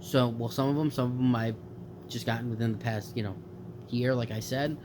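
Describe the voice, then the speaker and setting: A man's voice: mid-range voice, fairly even intonation, brisk, noisy background. Rambling stuffed-up young man, late-night gaming chat. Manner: rambling, lots of fillers and self-corrections. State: stuffed up from allergies. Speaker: gaming YouTuber.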